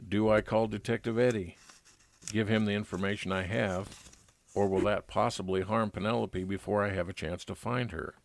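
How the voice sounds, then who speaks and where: A man's voice: fairly low pitch, steady tone, moderate pace, creaky, no room sound. A man narrating a scripted first-person detective story as a private investigator, in old-time radio style.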